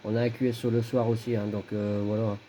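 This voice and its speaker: A man's voice: on the low side, flat delivery, quick pace, warm tone. A man giving casual, matter-of-fact signal reports as an amateur radio operator, often repeating himself. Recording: faint background noise, radio contact.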